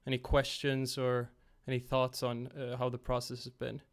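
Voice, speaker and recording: A young man hosting a casual game-creation livestream from home on a headset, slightly raspy after being ill. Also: medium pitch, moderate pace. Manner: relaxed, rambling, talks to chat.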